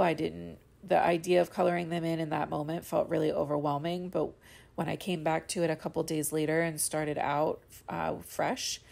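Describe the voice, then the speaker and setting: Young woman host, low-pitched and heavily gravelly, congested and a bit cracky, chatty voiceover with lots of fillers. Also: a little mellow. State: stuffy and congested. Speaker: card-making channel host. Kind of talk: casual voiceover of a coloring tutorial. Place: home studio voiceover.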